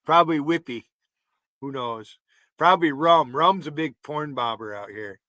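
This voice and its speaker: A man's voice: fairly high pitch, normal speed, sharp tone, dry recording. A man in his fifties with a northern New York accent, hosting a livestream chat in a blunt, rambling way and swearing freely. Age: 50s. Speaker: livestream host. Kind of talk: casual chat.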